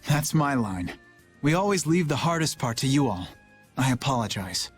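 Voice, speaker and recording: A man's voice: mid-range voice, very animated, normal speed, faint music behind, natural voice. A man playing an earnest, courteous, worried young man in an English anime game dub. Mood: apologetic.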